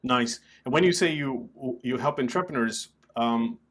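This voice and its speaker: A man's voice: medium pitch, a little slow, fairly bright tone. Male podcast host with a mid-range voice. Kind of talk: scripted intro and interview questions.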